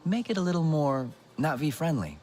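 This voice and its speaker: An actor playing a good-natured, talkative human builder in a video game, full of enthusiasm. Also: male voice, on the high side, fairly quick, close to an everyday voice. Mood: enthusiastic. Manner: talkative, animated. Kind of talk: video game dialogue acting.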